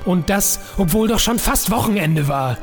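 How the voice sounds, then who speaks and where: High-voiced male storytelling narrator. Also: lively intonation, normal speed, faint music behind. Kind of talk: scripted dramatic storytelling narration.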